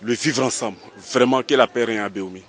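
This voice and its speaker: A man's voice: mid-range voice, fast talker. A man with an Ivorian accent speaking as a youth leader, making an appeal to a news camera outdoors.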